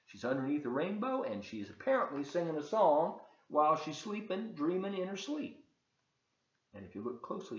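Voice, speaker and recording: A man in his seventies reading a children's storybook aloud expressively, with explanatory asides to his listeners. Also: on the high side. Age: 70s. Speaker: volunteer storybook reader. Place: large open kitchen and living room, indoors. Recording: echoey room, slightly dull webcam sound.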